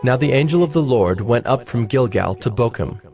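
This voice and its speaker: A man narrating scripture from a script in a measured, formal manner. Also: mid-range voice, animated, creaky, darkish tone. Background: music.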